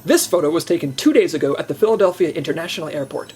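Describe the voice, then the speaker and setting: A man's voice: fairly high pitch, animated, racing, darkish tone, slight background noise, lightly acted. A man playing an earnest special agent in an audio comedy, eager and defensive as he strains to sound fine. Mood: earnest briefing. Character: eager, strained male special agent hiding his soreness.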